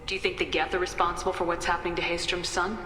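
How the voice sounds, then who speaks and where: A woman's voice: lowish voice, flat delivery, racing, pushed and bright. A woman playing a confident, caring military commander in a video game, direct in manner. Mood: probing. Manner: direct, probing questions. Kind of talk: video game dialogue acting. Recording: echoey hall, music behind.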